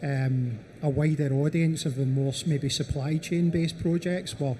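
A man's voice: a little high, steady tone, measured pace, mellow, slight background noise. A man speaking as a panelist in a panel discussion, leaning on "you know" as filler. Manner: repeats "you know" often.

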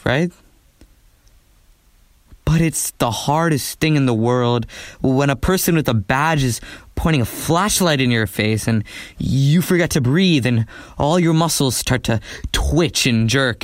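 A man's voice: mid-range voice, no echo, studio quality. A young man narrating his own story in a wry, reflective way. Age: young adult. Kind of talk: scripted narration of a memoir drama.

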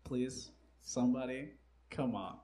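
A man's voice: medium pitch, a little slow, dark tone. A man hosting a live comedy quiz, joking, swearing and laughing often. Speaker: comedy show host. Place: live comedy venue with audience. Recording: echoey live room, faint noise.

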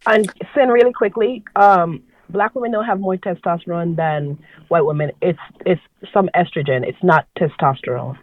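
A woman with a notably deep voice speaks in a dry, close sound, earnestly setting the record straight in casual chat. Her voice comes over a thin, phone-like remote line. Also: moderate pace, dry recording. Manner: earnest, corrects the others.

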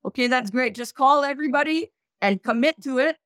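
A woman in her thirties from Quebec, a guest recounting her business journey in lively conversation. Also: brisk, dry recording, studio quality. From Canada (Québec). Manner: animated, rises in pitch when emphasizing. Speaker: guest, search fund entrepreneur. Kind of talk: conversational interview.

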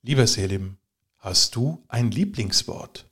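A man hosting a podcast, reading a formal, measured introduction and then asking his guest questions. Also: fairly low pitch, expressive, fairly bright tone, slightly rough. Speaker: podcast host. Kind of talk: scripted introduction and interviewing.

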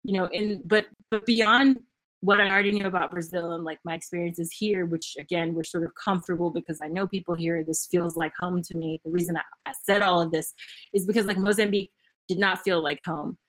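A woman's voice: lowish voice, steady tone, a little fast, fairly bright tone, rough recording. A young woman from Tennessee hosting a podcast over a remote call, chatty and casual, with lots of "like" and "um" and frequent laughter. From Memphis, Tennessee, United States. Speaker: podcast host.

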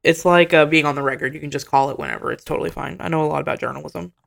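A woman comedian co-hosting a podcast, animated and sarcastic, laughing often. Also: low voice, racing, creaky. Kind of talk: casual podcast banter.

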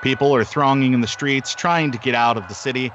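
A man's voice: mid-range voice, steady tone, brisk, fairly bright tone, natural voice. Aggrieved street reporter, muffled, played by a man. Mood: urgent, dry. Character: put-upon, aggrieved male street reporter. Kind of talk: live radio report parody. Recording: dull sound, noisy city street behind.

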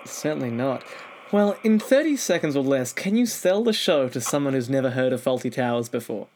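A man's voice: mid-range voice, very animated, a little fast, a little mellow, a little hiss or hum. A man hosting an interview, putting conversational questions to his guest. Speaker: interview host.

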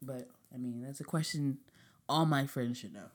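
A woman's voice: a bass voice, normal speed, darkish tone. A young woman hosting a podcast, talking in blunt, profane, laughing banter. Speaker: podcast host. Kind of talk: casual chat.

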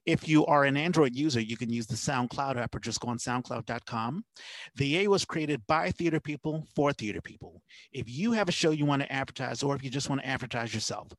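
A man hosting a casual podcast, talking in a conversational, halting way. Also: mid-range voice, dry recording. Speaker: podcast host.

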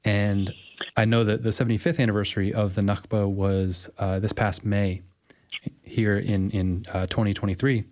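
Male podcast host, slightly low voice, polite and measured questions. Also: flat delivery, darkish tone. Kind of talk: interview questions.

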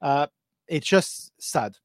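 Co-host: man, French accent, raised pitch, relaxed and chatty, dry close sound. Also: no echo. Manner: relaxed, with frequent fillers and quick agreement. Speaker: podcast co-host. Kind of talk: casual podcast chat.

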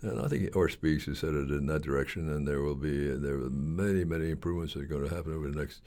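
An older man with an extremely low voice talks in a loose, conversational interview style. His delivery is halting and rambling, circling back over phrases as he goes. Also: steady tone, dark tone. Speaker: surgeon interview guest.

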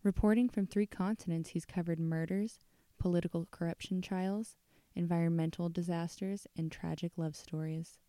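A woman's voice: lowish voice, steady tone, moderate pace, dark tone, no echo. A woman hosting a storytelling podcast, speaking in an informal, candid way. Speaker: podcast host and story reader. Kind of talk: casual chat and storytelling narration.

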